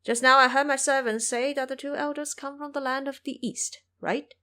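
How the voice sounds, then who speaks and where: A woman's voice: high voice, fairly even intonation, moderate pace, bright, forceful tone, voice pushed up. A woman voicing an irritable, anxious old male country squire, scolding and fretful, in an audio drama. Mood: wary.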